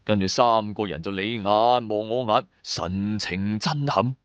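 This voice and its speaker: A man's voice: on the low side, a little slow, clean tone, dry recording, muffled recording. A man narrating a scripted first-person audio drama in Cantonese-accented speech, in a colloquial, dramatic storyteller's manner. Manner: colloquial storyteller delivery with sentence-final particles.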